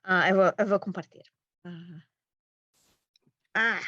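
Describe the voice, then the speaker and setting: A middle-aged woman from Massachusetts, a teacher presenting informally and haltingly, mixing in words. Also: on the low side, fairly even intonation, pushed and bright, no room sound. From Massachusetts, United States. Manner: halting, mixes in English words. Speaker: high school language teacher presenting. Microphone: video call. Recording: muffled lo-fi webinar audio.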